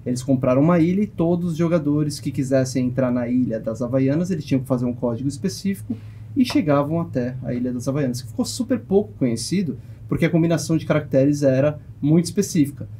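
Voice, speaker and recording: A Brazilian man in his thirties explaining his points in a measured, slightly hesitant way during a casual panel discussion. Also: on the high side, dark tone, a little hiss or hum. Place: small padded podcast studio. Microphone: close boom-arm studio microphone.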